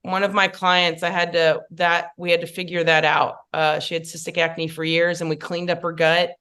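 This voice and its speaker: A woman's voice: on the low side, little pitch movement, moderate pace, fairly bright tone, clean tone. A woman makeup artist, chatting casually as a podcast guest with many fillers and asides.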